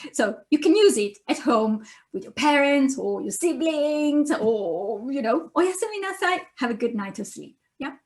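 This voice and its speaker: A woman with a clear Italian accent teaches a beginner class over a webcam from home. Her voice sits high, and she takes her time, spelling out each sound patiently so that learners can repeat after her. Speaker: university Japanese lecturer. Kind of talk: recorded online lesson.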